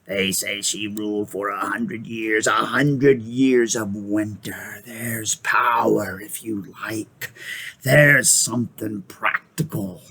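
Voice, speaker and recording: A man's voice: fairly low pitch, steady tone, slow pace, pushed and bright, breathy edge, full character voice. A man voicing a bitter male dwarf who speaks coldly and snarls. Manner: cold, snarling.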